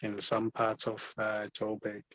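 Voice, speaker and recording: Slow, flat-voiced man. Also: fairly low pitch, no room sound. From South Africa. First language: Zulu. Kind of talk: casual group video chat.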